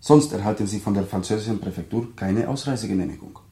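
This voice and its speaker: A man acting a brisk, dryly ironic consular official, formal and instructing, in a German radio drama. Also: lowish voice, vocal fry, dark tone, roomy sound. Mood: officious.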